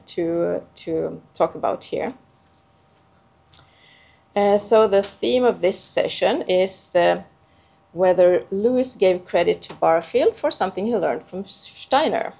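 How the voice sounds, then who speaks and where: Low, gravelly woman. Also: mellow. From Finland. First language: Swedish. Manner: hesitant, many filler sounds and restarts. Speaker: conference speaker. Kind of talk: academic conference paper.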